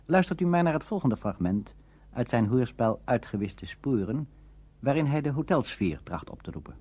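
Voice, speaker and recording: A man presenting a documentary on radio drama as scripted narration, formal and expository, with a husky voice. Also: mid-range voice, very animated, normal speed, darkish tone, highs cut off.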